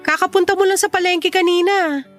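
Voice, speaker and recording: An actress playing a frank, reasonable neighbor woman in a radio drama, pleading with gentle reproach. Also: extremely high pitch, fairly even intonation, a little fast, faint music behind. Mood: exasperated. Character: frank, reasonable female neighbor, earnest and exasperated.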